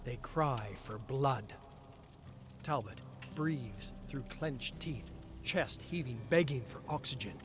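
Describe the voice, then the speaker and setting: A man streaming, reading game lore aloud in a slow, deliberate storytelling delivery. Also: mid-range voice, noisy background. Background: clear background music.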